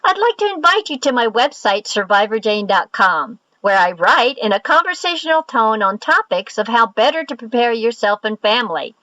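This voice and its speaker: Bright, lively woman reading a promo. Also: fairly high pitch, moderate pace, few pauses, no room sound, lo-fi sound. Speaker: voice actor and author promoting her website. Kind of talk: scripted promo, personal invitation.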